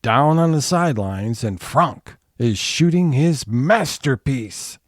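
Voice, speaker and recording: A man's voice: medium pitch, animated, takes it slowly, dry recording. A man narrating a scripted comedy audio play, setting each scene as storyteller.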